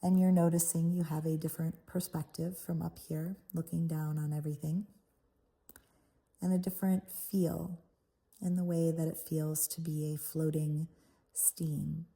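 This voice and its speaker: A woman leading a guided visualization in a soothing, unhurried manner, leaving pauses between short phrases. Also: low-pitched, flat delivery, gravelly, mellow, slightly rough. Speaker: guided meditation host. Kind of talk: guided meditation, soft guided visualization.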